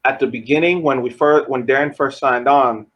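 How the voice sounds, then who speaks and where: A man with a husky, slightly raspy voice co-hosting a sports podcast. He talks in a casual way, circles back and restarts his sentences as he builds an argument. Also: mid-range voice, normal speed, a bit bright. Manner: hesitant, restarts often while building an argument. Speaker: podcast co-host.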